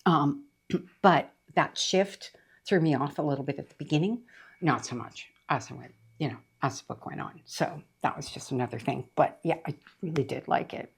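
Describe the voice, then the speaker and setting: A middle-aged woman chatting casually about books she read, her voice rough after being sick, rambling with lots of fillers. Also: low-pitched, normal speed. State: voice rough from recent illness. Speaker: book reviewer. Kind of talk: casual book review chat.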